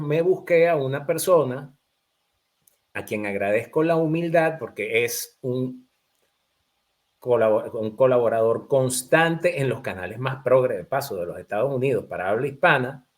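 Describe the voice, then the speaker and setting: Emphatic Venezuelan man hosting. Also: a little high. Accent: Venezuelan Spanish. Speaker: host. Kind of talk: political talk show.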